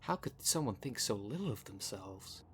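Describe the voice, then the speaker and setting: A man's voice: lowish voice, big pitch swings, normal speed, fairly bright tone, a little hiss or hum. A man voicing a vain, flirtatious, snobbish werecat socialite in a scripted roleplay spoken to the listener, with a pompous, haughty manner. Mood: disdainful. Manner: pompous, haughty, smooth-talking. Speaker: voice actor in audio roleplay.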